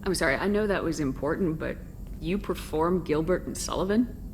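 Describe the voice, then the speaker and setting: A woman's voice: low-pitched, moderate pace, a little hiss or hum, rough recording. A woman playing a composed, direct female military commander in a video game, asking questions in a matter-of-fact way. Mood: curious.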